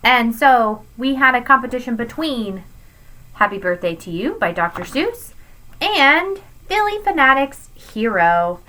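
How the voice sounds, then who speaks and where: A woman's voice: fairly high pitch, a little slow, poor audio. A woman in her thirties, a teacher, reading a rhyming children's picture book aloud expressively to students. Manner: animated, addressing children. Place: small home room with bookshelves. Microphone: webcam at desk distance.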